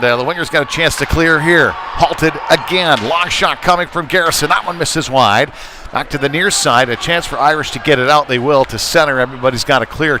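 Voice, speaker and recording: A man doing live ice hockey play-by-play in a conversational way, thinking aloud as he goes. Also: fairly high pitch. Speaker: play-by-play sports commentator. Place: indoor ice rink. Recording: noisy live rink broadcast.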